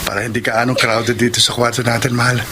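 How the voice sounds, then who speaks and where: A man's voice: mid-range voice, level tone, quick pace, noisy background, natural voice. An actor playing a devoted young Filipino husband and father in a radio drama, tender and earnest. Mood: cheerful. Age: young adult. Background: music.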